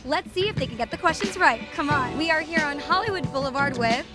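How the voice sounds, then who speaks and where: High-voiced woman presenting a street quiz. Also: lively intonation, quick pace. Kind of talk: game show street quizzing. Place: outdoors on a busy city street. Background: loud music.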